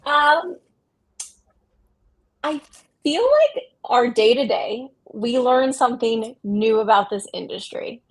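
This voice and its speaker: A woman's voice: a little high, lively intonation, slow pace, long pauses, poor audio. A woman giving casual, candid interview answers in very informal, conversational speech. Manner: informal, peppers talk with "like", candid. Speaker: company co-founder, interview guest.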